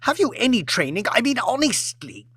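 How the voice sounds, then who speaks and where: Audiobook role: petulant highborn lady, played by a man, high-pitched, wildly swooping, histrionic and imperious. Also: very animated, moderate pace, a bit bright, slightly rough, no room sound, studio quality, heavily acted. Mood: indignant, demanding. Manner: petulant, sobbing self-pity turning to furious outrage. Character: imperious, shrill, histrionic aristocratic lady throwing tantrums.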